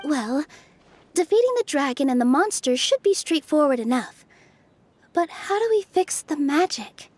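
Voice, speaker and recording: A woman voicing a gentle young female adventurer in a video game, polite and thoughtful. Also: high voice, very animated, normal speed, dry recording. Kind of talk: video game cutscene acting.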